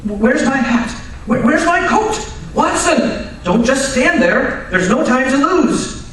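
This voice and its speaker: A man's voice: very high voice, very animated, full character voice. Man acting a swooping, imperious detective in an echoey hall. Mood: urgent, agitated. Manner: brisk, commanding, impatient. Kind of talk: old-time radio drama acting on stage. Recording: very echoey hall, distant mic, faint noise.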